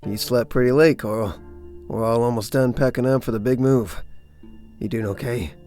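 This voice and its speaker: A man's voice: medium pitch, steady tone, normal speed, warm tone, soft background music, close to an everyday voice. A man playing a weary, protective former cop who leads survivors and looks after his family, in a dramatic comic dub. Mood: gentle.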